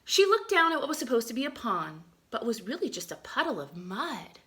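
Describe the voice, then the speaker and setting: Bright, animated woman reading to children. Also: on the high side, fairly quick. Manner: expressive, explanatory, addressing young listeners with questions. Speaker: teacher reading a picture book to children. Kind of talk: animated read-aloud with chatty asides and questions.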